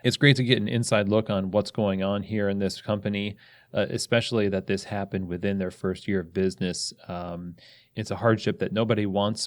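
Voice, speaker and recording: A man speaking as a podcast host in a conversational interview, with a measured, halting, thoughtful delivery. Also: lowish voice, dry recording, clean studio sound.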